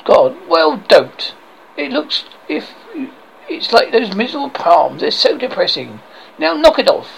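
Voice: medium pitch, big pitch swings, measured pace, creaky, a little hiss or hum, pitched above the performer's own voice, heavily acted, rough recording. A person voicing an irritable, commanding male God who sounds exasperated and imperious, in comic script reading.

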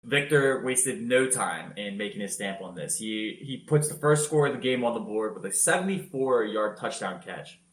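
A man telling football highlights in a casual podcast chat, energetic and loud-voiced. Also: moderate pace, few pauses, roomy sound, poor audio. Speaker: podcast co-host. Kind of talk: casual sports recap.

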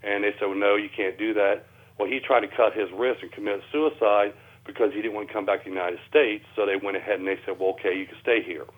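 Over a narrow, tinny phone connection, a man speaks in a low voice. He talks in plain, folksy, loose grammar, explaining things as a guest researcher in a conversational radio interview. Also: steady tone, quick pace. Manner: folksy, ungrammatical plain speech. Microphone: telephone.

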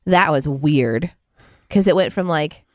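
A young woman co-hosting a podcast, slightly hoarse from a cold, chatting in a silly, self-mocking way and giggling often. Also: low-pitched, slow pace, a little mellow, dry recording, studio quality. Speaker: podcast co-host. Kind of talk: casual chat.

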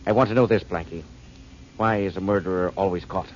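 A cold, calculating man , voiced by a male actor speaking low and measured. His formal calm makes him sinister. Old muffled radio broadcast sound with a faint hiss. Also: lightly acted. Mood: coldly calm. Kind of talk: 1940s radio acting.

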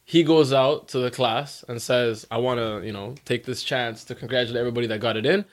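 Animated laughing male storyteller. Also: a little fast. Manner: animated storyteller who acts out quotes in a high voice and laughs a lot. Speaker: podcast guest. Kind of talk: casual storytelling chat.

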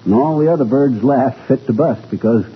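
A man's voice: medium pitch, a little fast, close to an everyday voice. A man playing a folksy, blustery middle-aged husband who jokes and spins yarns in a 1940s radio comedy. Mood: storytelling. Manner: folksy, colloquial, chuckling. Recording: tinny, noisy old radio recording.

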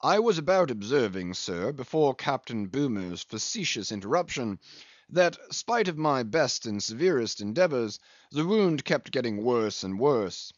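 A man voicing a solemn English ship's surgeon in an audiobook, deadpan, measured and drily pompous. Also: medium pitch, no room sound, highs cut off. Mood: coolly deadpan.

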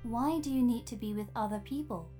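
A woman playing a cheeky, breezy, self-assured AI therapist in an audio drama, with a playful, teasing, brisk manner. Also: fairly high pitch, mellow, faint music behind, natural voice. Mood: probing.

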